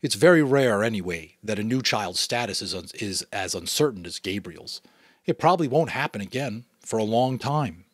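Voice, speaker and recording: A man voicing a calm father who speaks in a matter-of-fact way. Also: on the low side, normal speed, slightly rough, no room sound. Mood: reassuring.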